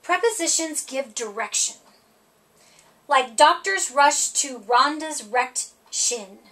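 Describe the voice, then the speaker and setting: A middle-aged woman reads a children's picture book aloud, with expressive, sing-song emphasis on the rhymes. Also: high voice, slow pace, poor audio. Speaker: storytime reader.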